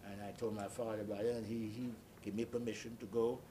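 An elderly man recalls his life in an interview, speaking as a deliberate, halting storyteller who repeats and explains. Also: lowish voice, little pitch movement, noisy background. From Bahamas. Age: 80s or older. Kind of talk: oral history recollection.

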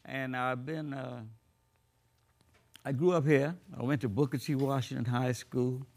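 A man in his eighties, born in the Bahamas, telling his life story slowly and reflectively in an oral history interview. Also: mid-range voice, steady tone, darkish tone. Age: 80s.

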